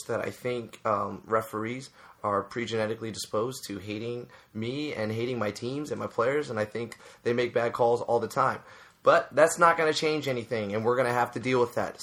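A man's voice: medium pitch, a bit bright. A man who coaches youth soccer, co-hosting a casual advice chat, earnest and growing emphatic as he makes his points. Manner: earnest, rises to emphatic when making a point; frequent fillers and restarts.

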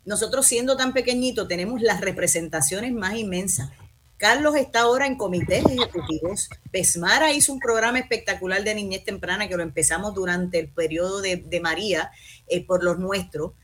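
A woman's voice: medium pitch, fairly quick, fairly bright tone. A woman who leads a sports federation, speaking passionately and emphatically on a panel. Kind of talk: panel discussion.

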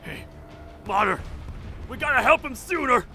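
A frantic soldier, played by a man, yells urgently in a war audio drama. The voice is extremely high and strained with gravel, over clear music. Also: expressive, moderate pace, exaggerated voice. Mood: desperate. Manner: shouting, urgent. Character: frantic battlefield soldier shouting orders. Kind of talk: scripted audio drama acting.

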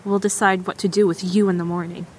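A woman's voice: on the low side, level tone, quick pace, a little hiss or hum, natural voice. A woman playing a tough, protective young survivor with an intense, earnest manner in an audio drama. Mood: softened, protective.

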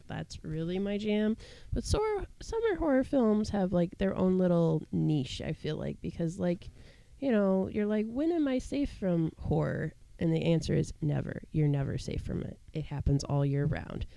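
A woman in her thirties co-hosting a podcast, husky from a cold and chatting in a lively way with fillers and laughs. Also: on the low side, a little hiss or hum, rough recording. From Ohio. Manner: animated, laughs between lines, trails off into fillers. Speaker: podcast co-host. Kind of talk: casual chat.

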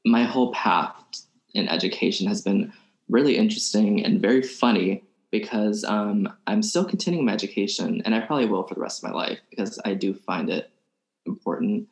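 A young man from Alabama, a podcast guest musician, talking in a soft-spoken, hesitant way with many ums. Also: lowish voice, a little fast. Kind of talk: casual interview chat. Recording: roomy echo.

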